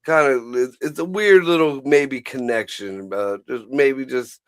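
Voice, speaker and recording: A man's voice: mid-range voice, a little slow, a bit bright, no room sound, studio quality. A man chatting casually about politics as a show host, blunt, profane and rambling.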